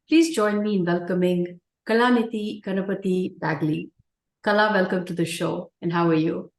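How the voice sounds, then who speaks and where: A woman hosting an interview show, introducing her guest gently with some hesitant fillers. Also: mid-range voice. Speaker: host. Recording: roomy echo.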